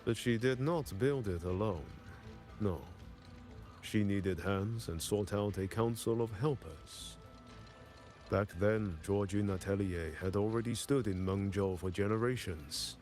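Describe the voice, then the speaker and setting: A male actor plays a resolute guardian commander from a video game. His voice is very low and his delivery is slow, measured and solemn. He sounds like someone bearing grave duty. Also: a little mellow, faint music behind, close to an everyday voice. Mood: solemn, storytelling. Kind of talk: video game dialogue acting.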